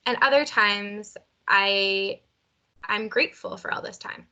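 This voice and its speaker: A woman leading a guided tapping session, speaking gently and encouragingly and setting out phrases for a partner to repeat. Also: medium pitch, a little slow, smooth, lo-fi sound. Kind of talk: guided emotional freedom technique session.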